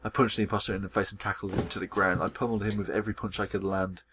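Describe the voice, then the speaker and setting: A man's voice: lowish voice, little pitch movement, very fast, noisy background, natural voice. A man playing an anguished, hot-tempered male visual novel protagonist who tells his own story dramatically. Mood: grim, intense. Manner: dramatic first-person storytelling. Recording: music behind the voice.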